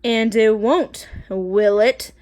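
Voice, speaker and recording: A woman voicing a stern, bossy, witch-like substitute teacher in a storybook, slow and commanding, with a scratchy voice. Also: on the high side, a little mellow. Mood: sly, commanding.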